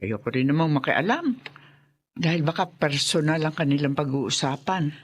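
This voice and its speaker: An actress playing a concerned, discreet older mother and wife in a radio drama, with a folksy, expressive manner. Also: deep voice, normal speed, husky. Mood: matter-of-fact.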